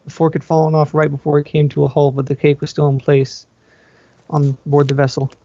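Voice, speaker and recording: A man narrates a first-person story in a fairly high, gravelly voice. The recording is dry and slightly muffled, as in amateur fan fiction readings. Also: monotone, a little fast, warm tone, no room sound.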